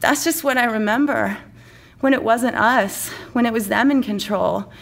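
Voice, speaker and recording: A woman's voice: fairly high pitch, normal speed, vocal fry. A woman voicing an earnest, clueless, apologetic male fashion doll in a comic story, speaking hesitantly. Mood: confessing.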